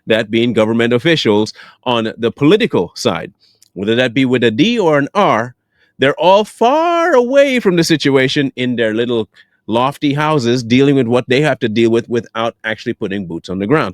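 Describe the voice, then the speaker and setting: An American man giving off-the-cuff commentary, conversational and deliberate, stressing key words as he reasons things out. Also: medium pitch, no room sound, studio quality. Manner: deliberate, emphatic, asks and answers own questions. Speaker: online commentator. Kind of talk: off-the-cuff news and political commentary.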